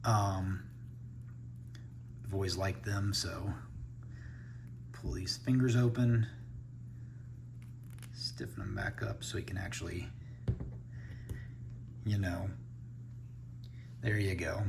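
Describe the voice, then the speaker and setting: A man's voice: sits low, lively intonation, slow, vocal fry, pauses often, noisy background. A man giving a casual unboxing review, thinking aloud as he handles the toys. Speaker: toy reviewer.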